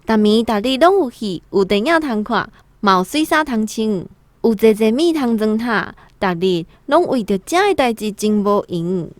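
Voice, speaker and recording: 女演員飾演愛奉承的浮華小姐. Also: female voice, on the high side, normal speed, no echo, natural voice. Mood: proud, inviting. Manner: gushing, flattering. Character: flattering, frivolous young society miss. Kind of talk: Taiwanese radio drama acting. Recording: music and jingles behind voice.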